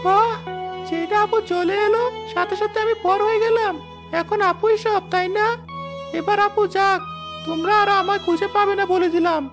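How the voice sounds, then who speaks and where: A woman's voice: very high voice, fairly even intonation, moderate pace, music in the background. A woman playing an eager young little brother, prone to sulking, in a Bengali cartoon. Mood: mock sulking.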